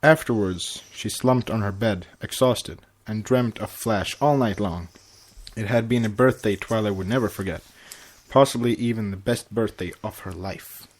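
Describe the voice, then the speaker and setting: A man's voice: on the low side, moderate pace. A man co-hosting a podcast, reading fan fiction narration and chatting casually. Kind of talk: story reading and casual chat.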